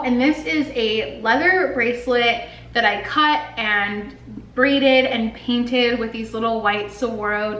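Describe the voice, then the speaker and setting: A woman's voice: fairly high pitch, fairly bright tone. A young woman in her twenties chatting casually and upbeat as she shows off items in a vlog, with lots of "like" fillers. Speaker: lifestyle vlogger and artist. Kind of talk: casual show-and-tell. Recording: echoey room with faint hiss.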